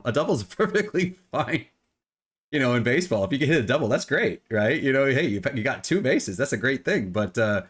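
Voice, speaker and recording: A middle-aged man with a cold and a phlegmy voice, co-hosting a casual gaming podcast and often repeating words as he restarts. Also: medium pitch. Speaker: podcast co-host.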